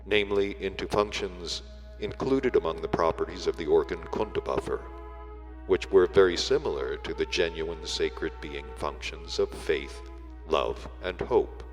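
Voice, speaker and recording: A man reading a book aloud as solemn, scripted narration, in measured, deliberate phrases with weighty breaks. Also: low voice. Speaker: audiobook reader. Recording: long reverb, music behind the voice. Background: music.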